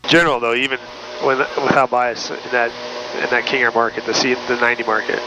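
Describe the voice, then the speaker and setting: A man's voice: mid-range voice, level tone, fast talker, noisy background. A man with an American accent, a pilot talking casually with a colleague over a headset in a small plane. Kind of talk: casual cockpit chat. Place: small aircraft cockpit in flight. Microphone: aviation headset. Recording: dull intercom audio, loud aircraft drone.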